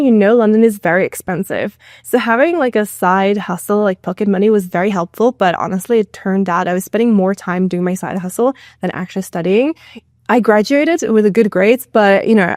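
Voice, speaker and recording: A woman's voice: mid-range voice, a little mellow, no echo, studio quality. A woman from Mongolia in her thirties, talking casually as an interview guest in a chatty way full of asides. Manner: chatty, with many fillers and asides. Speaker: guest, AI content manager.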